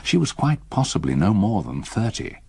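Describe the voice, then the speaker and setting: An English actor playing a reflective gentleman narrating a ghost story in a radio drama, measured, literary and sombre. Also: on the low side, big pitch swings, gravelly, breathy edge, no room sound, heavily acted. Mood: sombre, haunted. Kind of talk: first-person scripted narration, radio drama.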